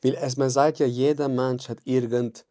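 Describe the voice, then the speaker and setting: A man's voice: mid-range voice, level tone, moderate pace, mellow, dry recording, studio quality. A man hosting a podcast interview, talking in an encouraging, rambling way. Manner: encouraging, rambling, frequent mhm and sag ich mal. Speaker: podcast host.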